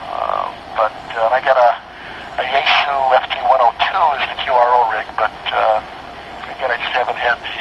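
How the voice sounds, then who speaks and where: American guy rambling casually on ham radio, muffled, noisy link. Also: medium pitch. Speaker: amateur radio operator.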